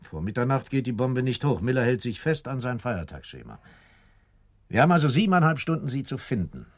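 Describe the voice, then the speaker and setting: A composed, determined police captain. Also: male voice, medium pitch, very animated, fairly quick. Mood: urgent, convinced. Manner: matter-of-fact, authoritative. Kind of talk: radio drama acting.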